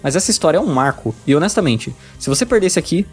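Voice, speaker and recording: Brazilian dude doing a game review voiceover, slightly high voice, super opinionated, some music under it. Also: male voice, very animated, quick pace, slightly rough. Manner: emphatic, opinionated. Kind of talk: scripted review narration. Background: background music.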